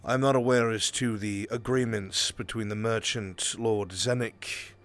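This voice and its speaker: A man playing a proud, dutiful military lord in a fantasy roleplay, speaking in formal, measured, deferential phrases. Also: fairly low pitch, fairly even intonation, a bit bright, faint music behind. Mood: deferential, firm.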